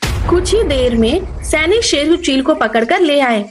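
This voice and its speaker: A woman's voice: sits high, lively intonation, measured pace, soft background music. A woman narrator reading a scripted children's moral story.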